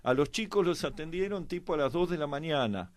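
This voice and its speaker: A man with an Argentine accent giving conversational historical commentary as a panelist, reflective and halting, often pausing mid-thought. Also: a little high, expressive. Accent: Argentine Spanish. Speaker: panelist on a history and politics programme.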